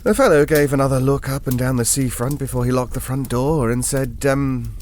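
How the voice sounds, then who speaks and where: An actor with a British accent playing a hesitant, reassuring gentleman who tells an eerie tale in an audio drama, halting and conversational. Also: mid-range voice, fairly even intonation, a little hiss or hum, close to an everyday voice. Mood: reflective, uneasy. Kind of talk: audio drama ghost story narration.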